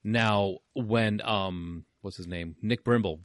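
A man's voice: fairly low pitch, takes it slowly, no echo. A man in casual podcast chat about films, playful and fond of comic analogies. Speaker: podcast co-host. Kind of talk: casual movie review chat.

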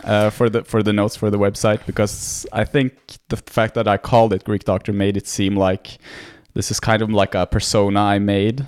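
A man's voice: lowish voice, normal speed, hardly any pauses, clean tone, no room sound. A man in his twenties from Norway, a medical student talking as a podcast guest in an easy, chatty way with frequent small restarts and laughs. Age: 20s. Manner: relaxed, laughs often, many filler restarts. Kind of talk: casual conversation.

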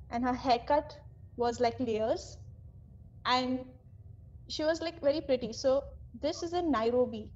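A woman telling a ghost story casually as a guest, acting out bits of dialogue as she goes. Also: sits high. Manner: animated retelling with acted-out quotes. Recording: dull lo-fi audio with constant noise.

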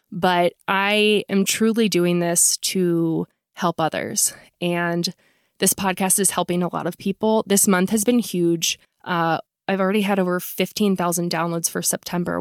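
A woman's voice: on the low side, dry recording, clean studio sound. Blunt, salty-mouthed woman podcast host. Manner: blunt and profane, self-mocking. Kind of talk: casual podcast talk.

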